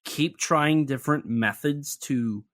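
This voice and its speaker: A man's voice: fairly high pitch, slow, a bit bright. A man co-hosting a podcast, talking through a monster film in a chatty, analytical way with light sarcasm. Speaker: podcast co-host. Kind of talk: casual film discussion.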